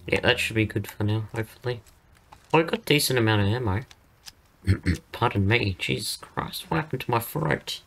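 A hoarse young man with a partly lost voice, chatting casually as he plays through a game and says "dude" a lot. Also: medium pitch, lively intonation, moderate pace, a bit bright. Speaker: gaming channel host. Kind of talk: casual let's-play commentary.

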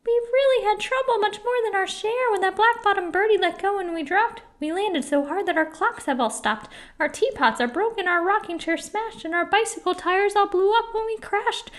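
A woman voicing a tiny, anxious male mayor from a children's storybook, with a thin, squeaky voice and an urgent, pleading way of speaking. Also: normal speed, few pauses. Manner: urgent, pleading, rhyming declamation. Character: tiny scared little mayor of a speck-sized town, pleading, male.

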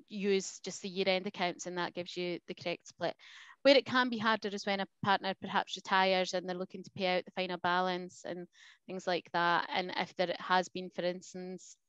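Smooth, even-toned woman on a headset call. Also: mid-range voice, no room sound. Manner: clear, matter-of-fact explaining. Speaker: accountant panelist. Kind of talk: professional video-call discussion. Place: home room. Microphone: headset mic on video call. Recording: dull, lo-fi video-call audio.

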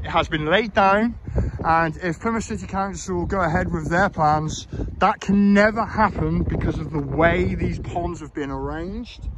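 A man walking through an outdoor park, explaining and criticising plans in a hesitant way with many fillers, recorded on a handheld phone. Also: a little high. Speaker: local election candidate. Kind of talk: walking tour commentary. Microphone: phone camera held while walking. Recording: phone recording outdoors with faint background noise.

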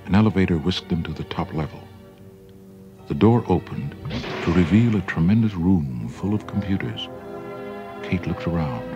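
Very deep-voiced male storybook narrator. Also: expressive, normal speed, pauses often, dull audio. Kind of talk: scripted children's read-along story narration. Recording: band-limited old record, music underneath.

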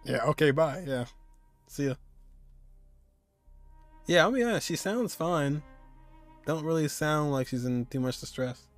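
A man's voice: on the high side, unhurried, pauses often. Casual man reacting, game music behind.

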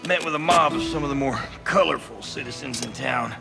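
A man acting a laconic frontier townsman in a Western game, mid-pitched with a creaky gravel. He is dry and understated, over background music. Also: fairly quick, natural voice. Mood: wry.

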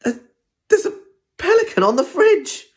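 A man voicing a flustered, dramatic mum from a storybook in shrill exclamations. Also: big pitch swings, a little slow, full character voice. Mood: shocked, shrieking.

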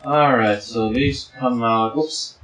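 A man co-hosting a let's play, in casual gaming banter, sounding exasperated and firing off quick repeated words. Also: mid-range voice, a bit bright. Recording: roomy echo with faint noise.

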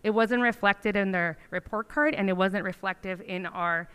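A middle-aged woman presenting a school language program to a board in a meeting room, explaining in a measured, emphatic way. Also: mid-range voice, fairly bright tone, clean tone.

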